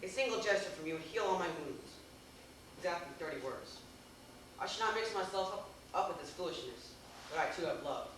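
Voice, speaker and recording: A man's voice: sits high, lively intonation, brisk. A man playing a fussy, deferential tutor in an amateur stage play, speaking in a formal, declamatory way. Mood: earnest. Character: fussy, deferential male tutor to a young master. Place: theater stage, recorded from afar. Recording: echoey noisy theater, distant microphone.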